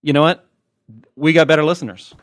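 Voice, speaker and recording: A man's voice: on the high side, fairly even intonation, brisk, no room sound, clean studio sound. A man hosting a podcast with casual comic banter, joking and mock-exasperated. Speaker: podcast host.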